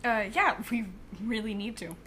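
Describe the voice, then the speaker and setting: Casting: actress as skeptical young female partner in crime, very animated intonation, hesitant and sardonic, audio drama, faint noise. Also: on the high side, normal speed. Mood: hesitant, doubtful. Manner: hesitant, sarcastic, questioning. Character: wary, principled young woman, reluctant villain partner.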